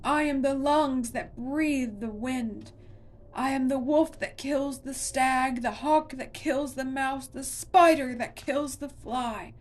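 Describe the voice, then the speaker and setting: A woman's voice: unhurried, hardly any pauses, warm tone. A woman reading aloud, voicing a towering ancient tree monster that roars and declaims. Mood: roaring. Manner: booming, declaiming. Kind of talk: storybook reading.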